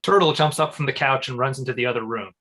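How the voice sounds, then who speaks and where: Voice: medium pitch, fast, fairly bright tone. Table-read narrator, mid-range voice, reading stage directions as scripted narration.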